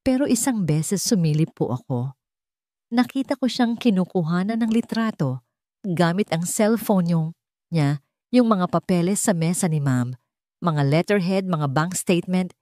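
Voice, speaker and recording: Housemaid in an audio drama played by a female narrator: timid, apologetic, trembling, mellow and dry-recorded. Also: fairly low pitch, lively intonation, measured pace, no room sound. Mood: confiding, regretful. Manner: trembling, deferential, hesitant.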